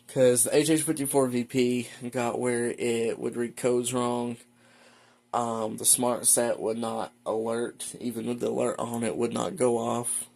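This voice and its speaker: A man's voice: medium pitch, gravelly, a little mellow. A man giving a casual, rambling, matter-of-fact show-and-tell as a hobbyist collector at home. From United States. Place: indoors at home.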